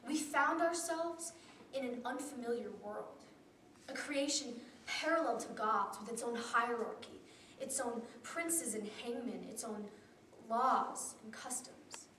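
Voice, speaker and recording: A teenage girl giving a memorized competition speech with a solemn, deliberate delivery that drops to hushed tones. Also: fairly high pitch, a little hiss or hum. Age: teens. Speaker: student speaker. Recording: roomy echo from a distant camera.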